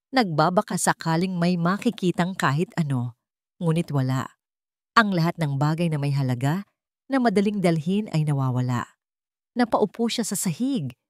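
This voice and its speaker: A woman reads a scripted dramatic story in a measured, dramatic way. Her voice sits a little low. The recording is dry and close, with no room sound. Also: darkish tone, no room sound.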